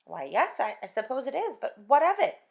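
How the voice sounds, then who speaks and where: Woman voices a cross, penny-pinching wife; sharp, complaining, gravelly edge. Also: sits high, very animated, a little fast, pitched above the performer's own voice, heavily acted. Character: cross thrifty middle-aged housewife.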